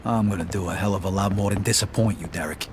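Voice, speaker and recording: A man acting as a tough young mobster from a video game, low-pitched and quick in delivery. He is blunt and sparing with words. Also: steady tone, noisy background, close to an everyday voice. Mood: angry. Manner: terse, blunt.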